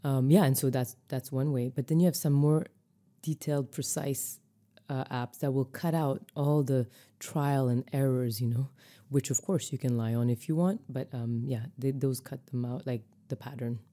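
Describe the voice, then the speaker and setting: Very low-pitched, dark-voiced woman. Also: steady tone, moderate pace, few pauses. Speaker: podcast guest. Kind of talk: conversational explaining.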